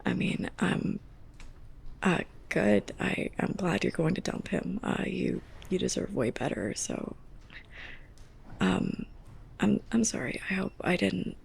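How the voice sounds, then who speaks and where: Casting: actress as prickly young woman library assistant with a secret crush, heavy vocal fry, unhurried and breathy, ASMR roleplay. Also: lowish voice, very animated, full character voice. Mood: flustered, hopeful. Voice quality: soft, breathy, often near a whisper. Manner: halting, awkward, self-conscious. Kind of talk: ASMR roleplay, intimate monologue to the listener.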